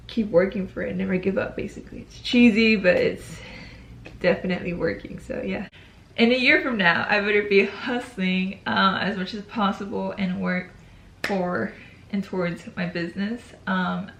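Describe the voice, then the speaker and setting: Chatty young woman with vocal fry. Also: mid-range voice, a bit bright, echoey room, a little hiss or hum. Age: 20s. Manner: chatty, hesitant, restarts with ums. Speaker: YouTuber. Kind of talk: casual to-camera yearly check-in.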